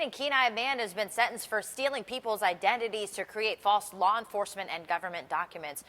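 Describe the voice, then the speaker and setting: A woman's voice: medium pitch, a bit bright, long reverb tail. An American woman working as a TV morning news anchor, reading scripted stories in a broadcast delivery.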